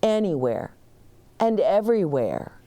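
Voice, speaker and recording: A woman voicing a gentle, reassuring mother in a children's storybook, patient and tender. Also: fairly low pitch, very animated, unhurried.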